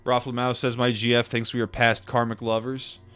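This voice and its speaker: A man's voice: mid-range voice, flat delivery, a bit bright, smooth, faint music behind. A man who reads a book aloud to viewers and chats with them in an informal, musing way. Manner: musing, informal, with pauses and repetitions. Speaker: streamer reading a book aloud and chatting with viewers. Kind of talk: book read-aloud mixed with casual reflective chat.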